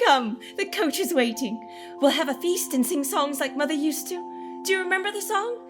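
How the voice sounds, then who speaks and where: A woman's voice: high voice, expressive, normal speed. A woman playing a sweet, delicate, joyful little sister, gushing and affectionate, in a theatrical radio play. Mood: joyful, loving. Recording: music behind the voice.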